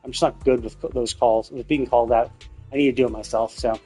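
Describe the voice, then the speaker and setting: A man's voice: mid-range voice, fairly even intonation, fast, mellow, breathy edge, faint music behind, poor audio. A man streaming video games and chatting casually, thinking aloud in a rambling way. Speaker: video game livestreamer.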